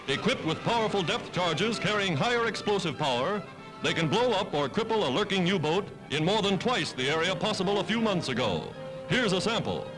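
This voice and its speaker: Male newsreel announcer, 1940s style. High voice, declamatory and dramatic, vintage soundtrack with loud music underneath. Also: big pitch swings, brisk, rough recording. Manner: declamatory, dramatic scripted delivery. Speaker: newsreel narrator. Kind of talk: 1940s wartime newsreel narration.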